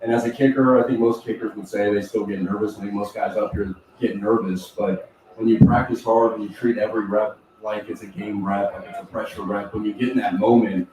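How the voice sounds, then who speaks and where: A man, a football kicker, calmly answering a press question about nerves. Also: fairly low pitch, a little fast, crowd noise. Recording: echoey room, far from mic.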